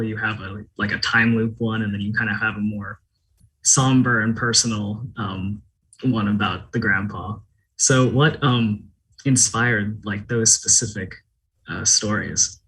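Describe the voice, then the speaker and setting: A man's voice: on the low side, sharp tone, husky, poor audio. A man asking casual, conversational questions as an interviewer.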